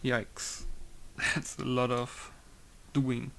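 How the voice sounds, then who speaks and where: A young man talks through his game modding at an ordinary pitch. He rambles, trails off and mutters test words to himself, then chats loosely to viewers. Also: level tone, very slow, creaky, pushed and bright, a little hiss or hum. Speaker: game modder. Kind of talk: casual talk while working on a tutorial.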